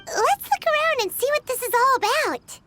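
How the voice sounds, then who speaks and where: A woman voicing a tiny childlike fairy companion in a video game, squeaky, excitable, blunt and sassy. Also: normal speed. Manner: speaks of herself in third person, sassy. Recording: loud music behind.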